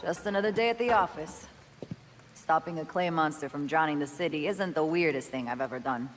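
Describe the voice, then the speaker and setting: An actress playing a weary, sardonic middle-aged police detective in a video game, dry and sarcastic. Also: female voice, deep voice, brisk, natural voice. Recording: noisy game cutscene audio.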